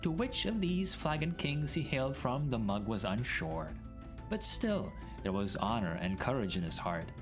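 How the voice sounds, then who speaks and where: Theatrical man reading aloud, swooping pitch. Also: very animated, normal speed. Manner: theatrical, mock-grand storyteller delivery. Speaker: streamer reading a story aloud. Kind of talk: dramatic storybook reading. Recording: background music mixed under voice.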